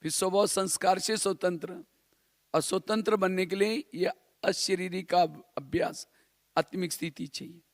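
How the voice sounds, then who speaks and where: A man's voice: sits high, normal speed, no echo, studio quality. A man from India giving a spiritual class in a didactic way, repeating phrases and posing rhetorical questions. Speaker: spiritual teacher.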